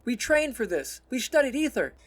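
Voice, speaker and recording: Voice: medium pitch, lively intonation, normal speed, fairly bright tone, breathy edge. A narrator voicing a cocky, showy, hot-headed young man in an audiobook. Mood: angry, ranting.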